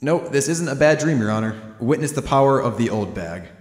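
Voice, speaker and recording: A man's voice: mid-range voice, animated, a little fast, close to an everyday voice. A man voicing an earnest young defense lawyer with wry sarcasm in an amateur courtroom fan dub. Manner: emphatic, wry asides. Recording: music behind the voice.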